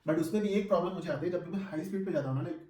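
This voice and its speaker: A man's voice: high voice, very fast, darkish tone. Echoey, distant-miked man reviewing casually. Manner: conversational, mixes in English phrases, often checks with short tag questions. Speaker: vlogger reviewing gear. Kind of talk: casual product review to camera. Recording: echoey room, distant microphone.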